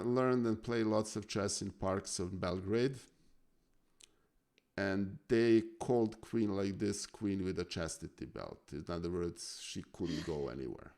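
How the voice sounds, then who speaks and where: A man's voice: low voice, slow, a little mellow. A man from Serbia with a Serbian accent, thinking aloud hesitantly as a chess student on a live stream. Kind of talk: casual chess lesson chat.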